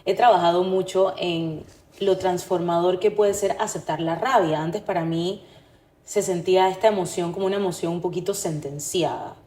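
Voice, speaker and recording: A woman's voice: fairly low pitch, fairly even intonation, normal speed, warm tone. A woman co-hosting a podcast, reflecting on personal experience and tentatively searching for her words. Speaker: podcast co-host. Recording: echoey room.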